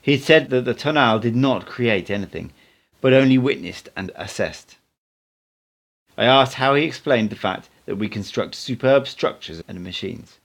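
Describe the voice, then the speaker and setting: A man's voice: medium pitch, lively intonation, normal speed, vocal fry. A man reading a book aloud as scripted narration, as an amateur audiobook reader.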